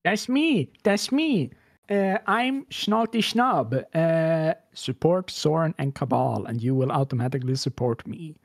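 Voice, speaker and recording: Low-pitched, lively female streamer. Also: a little slow, gravelly, no echo, clean studio sound. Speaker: player on the show. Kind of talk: casual joking chat.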